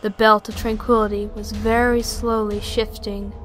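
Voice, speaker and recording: A woman narrates a fantasy audio play in a descriptive storytelling style. Her pitch is mid-range, and music plays lightly beneath her. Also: flat delivery, normal speed.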